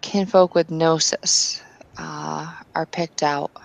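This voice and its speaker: Earnest kinfolk believer, played by a woman, slow and low-voiced, hesitant, full of restarts. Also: steady tone, creaky, exaggerated voice, rough recording. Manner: hesitant, thinks aloud with fillers and restarts. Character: devout kinfolk woman of faith, earnest questioner. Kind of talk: tabletop roleplay in character.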